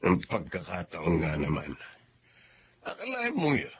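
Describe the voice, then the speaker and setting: A man's voice: sits low, wildly swooping pitch, unhurried, slightly rough, lo-fi sound, exaggerated voice. An actor playing a blunt old father who doubts his son's much older girlfriend, in a radio drama. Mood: disapproving. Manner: blunt, skeptical, polite-formal. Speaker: father of the young man.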